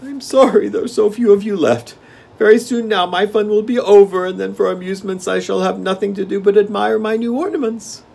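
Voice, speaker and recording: A man's voice: high voice, fairly even intonation, a little fast, gravelly, darkish tone, husky, pitched above the performer's own voice, full character voice. A man voicing a sly, gloating gnome king in a mocking, theatrical storybook manner.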